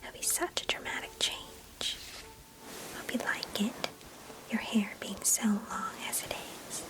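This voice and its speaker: A woman voicing a chatty, mischievous and affectionate young girl cousin in an ASMR roleplay, in a breathy, intimate whisper close to the mic. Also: mid-range voice, steady tone, unhurried, lightly acted, rough recording. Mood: tender. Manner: gentle, chatty, storybook-like old-fashioned phrasing. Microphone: close microphone.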